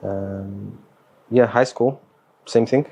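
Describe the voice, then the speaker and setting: A young man, talking as a guest in a casual interview, relaxed and hesitant, with many fillers and Arabic words mixed in. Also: lowish voice, slow pace, creaky. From Somali, born in the Netherlands, raised in England. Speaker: guest, university student.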